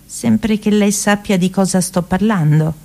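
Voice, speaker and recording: A woman's voice: mid-range voice, unhurried, creaky, mellow. A woman performs a rustic young woman cook for a radio play. She is plain-spoken and proud, with a self-assured manner.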